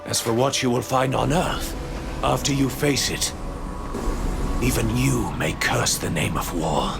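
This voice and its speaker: An imperious lord of an otherworldly citadel in a video game. His mid-pitched voice is full of creak and his speech is formal, with music clearly behind it. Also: a little slow. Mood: imperious, ominous. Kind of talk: video game character acting.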